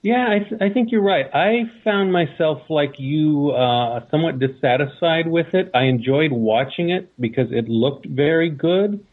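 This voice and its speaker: A man giving a measured, conversational film review as a podcast panelist. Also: on the high side. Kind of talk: casual film review discussion. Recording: thin, phone-like call line.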